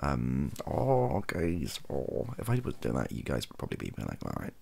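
Young British male, soft-spoken ASMR chat; husky, lively intonation, hesitant and informal. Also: rumbling low, normal speed. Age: young adult. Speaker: content creator. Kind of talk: softly spoken ASMR-style casual chat to camera. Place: small cluttered bedroom, indoors.

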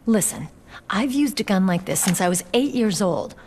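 A woman's voice: medium pitch, lively intonation, moderate pace, a little mellow, slight background noise, close to an everyday voice. A woman playing a tough, proud young rookie soldier in a video game, earnest, determined and plain-spoken. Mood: brisk, confident. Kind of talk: dramatic video game cutscene acting. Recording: background music under studio voice.

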